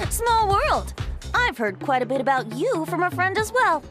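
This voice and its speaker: A woman's voice: extremely high pitch, sing-song, measured pace, over music, exaggerated voice. A woman playing a playful, whimsical young male bard in an anime game, with a lilting, theatrical and poetic delivery. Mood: cheerful, breezy.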